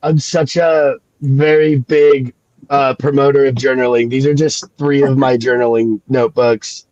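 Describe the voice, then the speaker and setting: A man talking slowly and reflectively in a casual podcast chat, with many fillers and restarts, sniffling from a cold. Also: mid-range voice, level tone, a little mellow, no echo, muffled recording. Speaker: guest comic creator.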